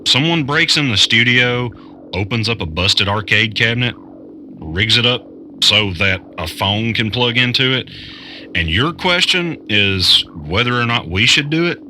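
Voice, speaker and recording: An actor playing a skeptical, exasperated investigator in an audio drama, speaking bluntly. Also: male voice, medium pitch, lively intonation, unhurried, bright, forceful tone, slight background noise.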